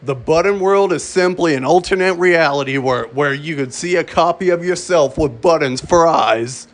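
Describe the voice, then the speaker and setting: A man reading a scripted mock emergency broadcast as an official announcer, halting and repeating phrases as he goes. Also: fairly high pitch.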